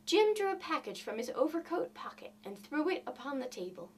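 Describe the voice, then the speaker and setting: A woman reading a classic short story aloud with theatrical, deliberate emphasis. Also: high-pitched, very animated. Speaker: reader of literature. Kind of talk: expressive audiobook narration of a classic short story.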